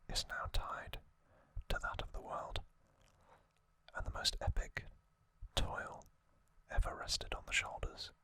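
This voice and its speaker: A man whispering softly in a breathy, deliberate ASMR narration, speaking in short phrases with pauses. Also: poor audio. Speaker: host of an ASMR channel. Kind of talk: whispered ASMR trivia narration and reading aloud.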